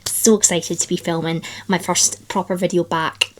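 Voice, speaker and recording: A woman vlogging about books in a chatty, enthusiastic way, her voice still slightly croaky after the flu. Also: on the low side, level tone, normal speed, a little hiss or hum. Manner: chatty, enthusiastic, lots of fillers. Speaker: book vlogger. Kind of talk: casual chat to camera.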